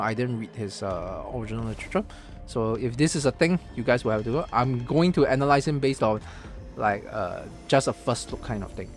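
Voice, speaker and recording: A man from Singapore giving casual live-stream commentary on a game's story, thinking aloud with lots of fillers and restarts. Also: medium pitch. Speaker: streamer reacting to a game story.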